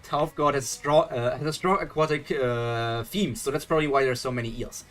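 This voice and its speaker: A man's voice: medium pitch, a little fast, fairly bright tone, faint music behind. A young man from Germany with a German accent, chatting loosely as he reacts to a webtoon live and answers his chat. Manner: chatty, thinks aloud, restarts and chuckles, answers chat. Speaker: streamer reading webtoons live.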